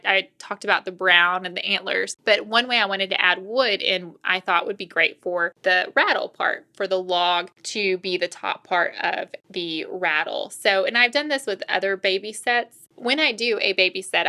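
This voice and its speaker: A woman with a very bright, forward voice of medium pitch walks through a craft tutorial step by step. She talks informally and drops in asides as she goes. Her voice is a little scratchy from talking. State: voice getting slightly scratchy. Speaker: cookie decorating tutorial host.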